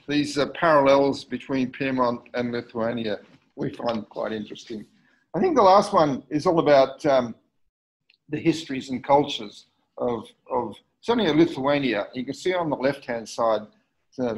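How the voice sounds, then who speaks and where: A middle-aged man from Australia giving a community history talk over slides, hesitant, with many fillers and repeated words. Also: mid-range voice. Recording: dull lo-fi recording.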